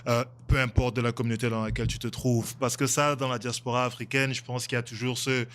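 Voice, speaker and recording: A man's voice: medium pitch, level tone, quick pace, a bit bright. A man hosting a casual interview podcast, asking loose, hesitant questions with frequent restarts. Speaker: podcast host.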